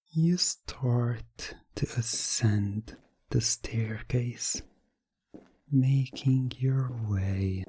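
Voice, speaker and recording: A man narrating a hypnotic ASMR story in a breathy, often whispery voice, with deliberate, repetitive phrasing. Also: mid-range voice, dark tone, dry recording. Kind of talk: slow hypnotic ASMR storytelling, second person.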